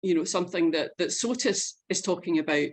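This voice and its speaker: A woman leading an informational webinar, explaining facts and figures in an earnest, measured way. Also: lowish voice. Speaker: presenter leading an online info session.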